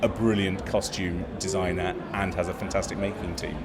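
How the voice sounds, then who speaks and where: Enthusiastic, low-pitched theatre producer in an interview. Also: male voice, flat delivery, normal speed, loud music behind the voice. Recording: loud crowd around. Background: crowd chatter.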